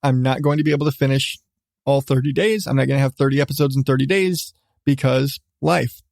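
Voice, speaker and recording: A middle-aged man hosting a casual solo podcast, talking in a rambling, halting way with repeated restarts. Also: mid-range voice, gravelly, darkish tone, no room sound, studio quality. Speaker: podcast host.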